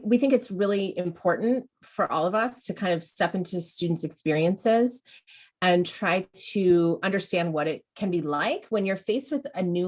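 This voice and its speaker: A woman hosting an educational webinar, talking in an encouraging way and often restarting her sentences. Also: fairly low pitch. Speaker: webinar host.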